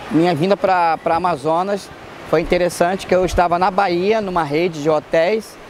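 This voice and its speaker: A middle-aged man chef chats about his restaurant and gestures as he talks. His voice is high for a man. He is recorded outdoors on a lapel mic, with faint background noise. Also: fairly even intonation, normal speed. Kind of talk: on-camera interview. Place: outdoor square by a market.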